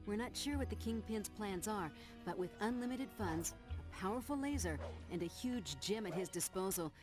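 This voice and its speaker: A woman's voice: medium pitch, animated, normal speed, music in the background, close to an everyday voice. Actress as a composed female briefing officer. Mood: businesslike. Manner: businesslike, explanatory. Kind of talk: video game mission briefing.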